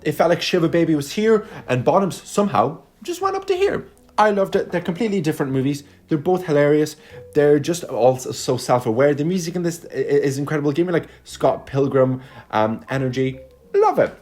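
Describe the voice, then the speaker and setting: A man's voice: on the high side, very animated, brisk, a little mellow, faint music behind. A young man from Lithuania, a native Russian speaker, talking casually and effusively as an online reviewer, with emphatic swearing. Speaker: YouTube film reviewer. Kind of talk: casual video chat.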